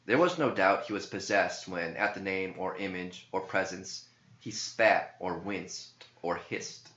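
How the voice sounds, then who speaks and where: Male verse narrator, bright forceful tone, deliberate delivery, recorded in an echoey room. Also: low-pitched, lo-fi sound. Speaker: author reading own verse.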